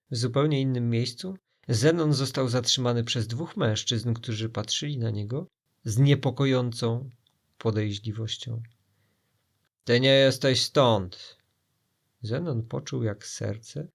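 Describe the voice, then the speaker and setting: A man's voice: mid-range voice, a little slow, gravelly, husky. A man narrating a scripted children's story as a storyteller, in a dramatic style.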